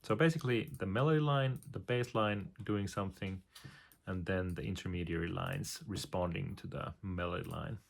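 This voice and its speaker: A man's voice: low-pitched, normal speed, vocal fry. A man, a composer explaining his process with many fillers, now and then humming melody lines. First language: Finnish.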